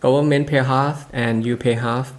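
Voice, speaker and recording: A man's voice: medium pitch, fairly even intonation, a little fast. A man with a Thai accent co-hosting a podcast, chatting casually in simple non-native English. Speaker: podcast co-host. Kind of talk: casual chat.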